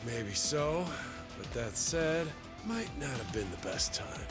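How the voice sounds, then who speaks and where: An actor playing a calm, reasonable pirate crewmate in a video game, measured in manner. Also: male voice, medium pitch, music in the background. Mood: mildly critical. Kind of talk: video game cutscene acting.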